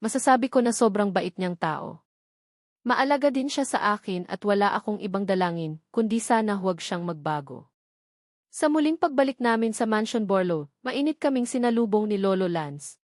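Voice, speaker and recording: A woman's voice: medium pitch, animated, normal speed, a little mellow, no room sound. Woman narrating romance, dry sound. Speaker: audiobook narrator. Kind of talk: scripted first-person romance narration.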